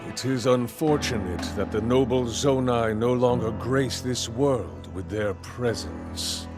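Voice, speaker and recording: An actor playing a menacing, calculating warlord who speaks with slow, formal courtesy in a video game cutscene. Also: male voice, medium pitch, close to an everyday voice. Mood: coldly courteous. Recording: loud music under the voice.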